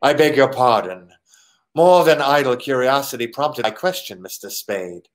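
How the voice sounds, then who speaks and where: A man playing a courteous but menacing foreign gentleman crook in a 1940s-style radio drama, with formal, deliberate phrasing. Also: medium pitch, expressive, close to an everyday voice. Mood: polite, insinuating.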